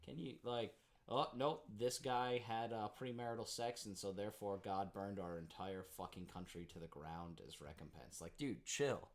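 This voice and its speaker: A young American man co-hosting a podcast, his voice sitting on the low side. He rambles loosely and swears a lot. He laughs often as he talks. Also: normal speed. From United States. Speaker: podcast co-host. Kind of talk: casual chat.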